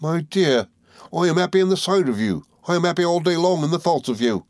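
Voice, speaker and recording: Man doing a tender rustic sweetheart, pitched up. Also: expressive, a little fast, darkish tone, dry recording, clean studio sound, close to an everyday voice. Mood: tender soothing. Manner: rustic dialect, soothing, earnest. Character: tender, devoted working-class young man, humble and doting.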